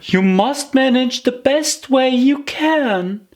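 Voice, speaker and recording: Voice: sits high, lively intonation, slow pace, darkish tone, voice pushed up. A storybook narrator voicing a fretful, chatty, prim young girl who muses aloud to herself in exclamations. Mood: fretful, whimsical.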